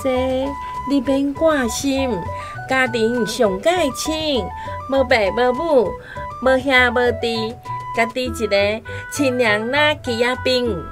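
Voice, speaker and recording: An actress with a Taiwanese accent playing a smooth-talking, boastful village matchmaker in a radio drama, coaxing and glib. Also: female voice, sits high, slow pace. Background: instrumental music.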